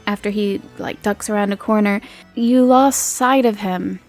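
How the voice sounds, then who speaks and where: A woman's voice: medium pitch, normal speed, darkish tone, soft background music. A woman game master narrating a tabletop roleplay casually, with informal, hesitant phrasing.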